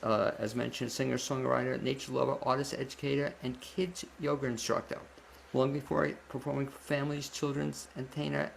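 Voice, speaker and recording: A man hosting a radio interview show, speaking conversationally and a little haltingly between questions and announcements. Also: mid-range voice, vocal fry, a little hiss or hum, rough recording.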